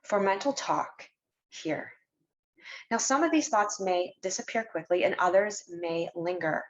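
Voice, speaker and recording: A woman narrating a scripted guided meditation in a measured, instructional way, leaving deliberate pauses between phrases. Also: on the low side, expressive, lo-fi sound.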